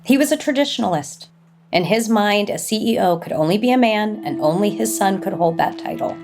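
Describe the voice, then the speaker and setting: A woman's voice: medium pitch, lively intonation, a little fast, darkish tone, faint music behind, lightly acted. An actress playing an ambitious, icy businesswoman in an audio soap opera. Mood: resentful, determined. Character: ambitious, icy female executive with attitude.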